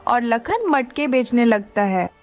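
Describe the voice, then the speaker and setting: A woman's voice: fairly high pitch, sing-song, a little slow, soft background music. A woman reading scripted narration for a children's cartoon story.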